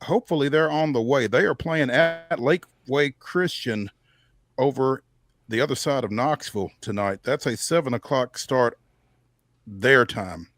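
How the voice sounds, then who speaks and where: A man's voice: mid-range voice, a little slow, fairly bright tone. An American man hosting a sports show, reading through a local games schedule in a conversational way with off-the-cuff asides.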